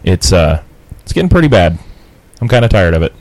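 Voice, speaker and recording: Deep-voiced nasal man podcasting. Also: big pitch swings, brisk, a little mellow, no room sound. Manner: rambling, restarts, laughs easily. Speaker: podcast co-host. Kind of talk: casual podcast banter. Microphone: podcast mic through a mixer board.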